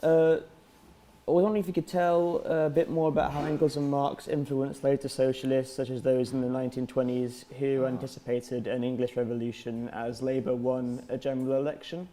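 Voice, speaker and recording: A man's voice: mid-range voice, level tone, a little fast, warm tone. A man moderating a talk, reading out audience questions.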